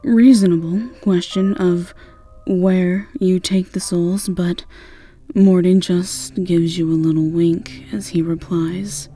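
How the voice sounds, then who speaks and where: A woman's voice: fairly low pitch, steady tone, slow pace, warm tone, faint music behind. A woman narrating a scripted second-person fantasy story as the narrator of an audio roleplay.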